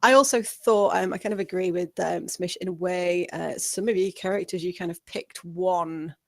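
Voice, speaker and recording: A woman giving casual feedback as a panelist, friendly, rambling and softening her points. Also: fairly low pitch, steady tone, normal speed, vocal fry, no room sound, studio quality. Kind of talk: casual chat.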